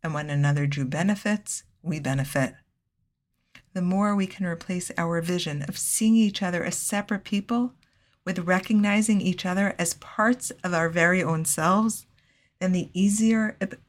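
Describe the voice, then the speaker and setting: A low-voiced woman delivers a scripted Torah lesson. She speaks in a measured, earnest way and drops in Hebrew phrases naturally. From United States. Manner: measured, earnest, with Hebrew and Yiddish-style terms. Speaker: Torah teacher.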